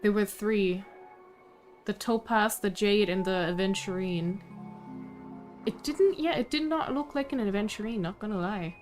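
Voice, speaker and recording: A woman's voice: medium pitch, a little slow, soft background music. A woman giving casual live commentary as she streams a video game.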